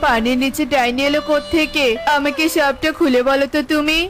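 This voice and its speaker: A woman's voice: sits high, fairly even intonation, fairly quick, lightly acted. A woman voicing a helpful, inquisitive male bird with a reassuring manner in a children's cartoon. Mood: questioning. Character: helpful, questioning male bird, devoted husband. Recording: music playing behind the voice.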